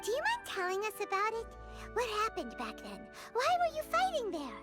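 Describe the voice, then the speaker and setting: A woman's voice: very high voice, wildly swooping pitch, normal speed, exaggerated voice. A woman voicing a tiny, chirpy, childlike fairy companion in a video game, perky and inquisitive. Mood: surprised, curious. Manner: perky, inquisitive, speaks in third person. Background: music.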